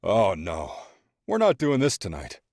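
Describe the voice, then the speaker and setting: A man's voice: on the low side, huge pitch swings, measured pace, darkish tone, no echo, studio quality, full character voice. A man voicing a shy, awkward young man embarrassed by his family. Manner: pleading, embarrassed.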